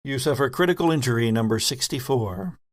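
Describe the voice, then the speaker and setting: A man narrating a tabletop horror game as game master, telling it deliberately with pauses. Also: mid-range voice.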